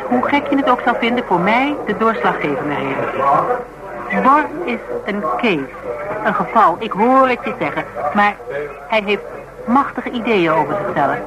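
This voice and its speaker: A woman's voice: mid-range voice, low-quality recording. Outspoken, stubborn Dutch woman arguing. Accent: Netherlands Dutch. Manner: emphatic, argumentative, colloquial, teasing. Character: outspoken woman reasoning stubbornly with a fanatic cop. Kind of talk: naturalistic radio drama dialogue.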